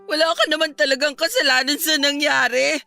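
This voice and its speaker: A woman's voice: high-pitched, steady tone, a little fast, gravelly, pushed and bright, faint music behind, heavily acted. A woman with a Filipino accent playing a feisty but devoted young woman, with emotional delivery in a radio drama. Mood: tender, supportive.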